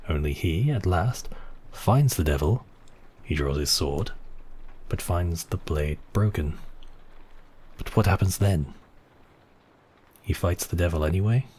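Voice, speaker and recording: Vampire count played by a man for ASMR roleplay: very low, unhurried, seductive and possessive, breathy, teasing. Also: animated, a little mellow, full character voice. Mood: intimate, musing. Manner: slow, teasing, intimate, with whispered phrases. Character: seductive, possessive male vampire count toying with his captive.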